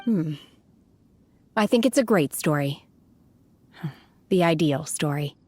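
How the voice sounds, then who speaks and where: An actress voicing a calm, reserved young woman who speaks in a low, reflective way in a video game. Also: slow, exaggerated voice.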